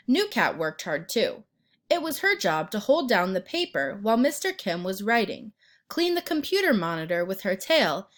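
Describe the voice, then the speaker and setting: Female storyteller, very animated pitch, mid register, reading a picture book to young listeners. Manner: pauses to ask the listener questions and point out pictures. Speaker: read-aloud storyteller. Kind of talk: expressive children's picture book narration.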